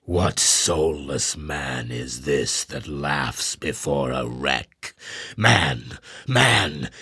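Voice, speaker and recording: A man voicing a grim, imperious old sea captain who swings from booming orders to hushed brooding. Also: husky, no room sound, clean studio sound, heavily acted. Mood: scornful. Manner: stern, booming commands then hushed brooding.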